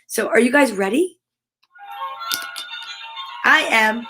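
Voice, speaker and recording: A woman with a fairly high voice hosts a story time for children. She is upbeat and encouraging, moving between reading aloud and chatty asides to her viewers. Her manner is gushing and animated. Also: slow pace, faint music behind.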